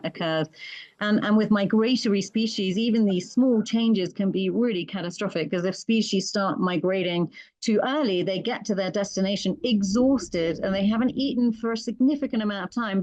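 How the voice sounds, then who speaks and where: A woman's voice: medium pitch, darkish tone, no echo, rough recording. Earnest British woman, dry sound. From Britain. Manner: earnest, thoughtful, with frequent fillers and restarts. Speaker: conservation expert guest. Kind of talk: interview answers.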